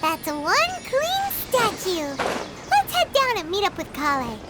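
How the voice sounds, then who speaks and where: Video game fairy sidekick: childish, excitable, piercingly high and squeaky, with very bouncy delivery. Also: female voice, unhurried, heavily acted. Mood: chirpy. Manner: refers to herself in third person, exclamatory. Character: tiny floating fairy companion, childish, excitable, food-loving. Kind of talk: animated video game voice acting. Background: game music.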